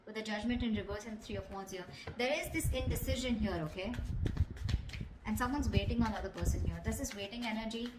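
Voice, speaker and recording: A woman's voice: fairly high pitch, fast. A woman giving an intuitive tarot reading straight to the viewer, conversational, often checking in with little tag questions. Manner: conversational, repeats tag questions like okay and you know. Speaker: tarot reader. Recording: echoey room, clear background noise, clicks.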